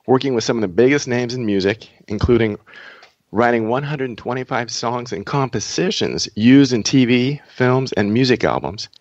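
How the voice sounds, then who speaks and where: A man's voice: mid-range voice, no echo, highs cut off. A man hosting a podcast, speaking in reflective monologue and introducing guests. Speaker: podcast host.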